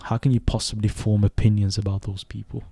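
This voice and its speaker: A young man in his twenties who grew up in Sweden, hosting a reflective solo podcast and speaking thoughtfully, with pauses and self-corrections. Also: fairly low pitch, flat delivery, gravelly, warm tone, no echo. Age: 20s. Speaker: podcast host.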